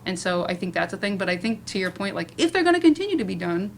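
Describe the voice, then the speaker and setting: A woman's voice: medium pitch, big pitch swings, fast, vocal fry. A woman moderating a casual panel discussion, speaking conversationally with lots of "like" and "you know". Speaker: panel moderator, playwright and director. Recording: noisy room at a live event.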